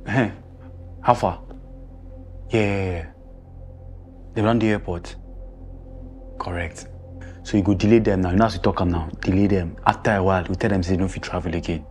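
Guy doing a shady nigerian pidgin dude on the phone, low and hushed, music behind. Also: fairly even intonation, moderate pace, long pauses, natural voice. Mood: scheming. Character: scheming man bribing someone by phone. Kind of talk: film drama acting.

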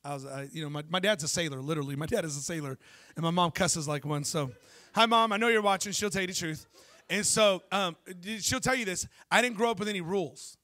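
A man in his thirties preaching a sermon on a church stage into a handheld mic, telling lively stories and asking the congregation questions. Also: high voice, fast. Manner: animated storytelling preacher, jokes and asks the crowd questions. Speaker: pastor.

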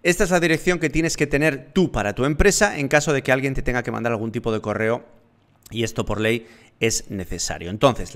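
A man, seated indoors and giving a steady, step-by-step tutorial on online selling. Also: medium pitch. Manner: explanatory, steady, walks through steps. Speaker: online business instructor. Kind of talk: screen-share tutorial. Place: indoor room, seated at desk.

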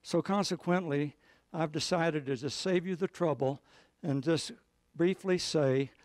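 A man's voice: on the high side, a little slow, a little mellow, no room sound. An older man from a state board giving short ceremonial remarks into a handheld mic, with dry humor. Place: plain indoor room.

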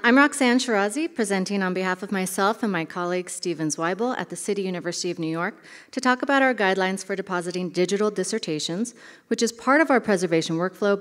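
A woman giving a short conference presentation from a lectern, in a brisk, plainspoken way. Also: mid-range voice. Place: large hall, at a lectern. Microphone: podium microphone. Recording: hall reverb.

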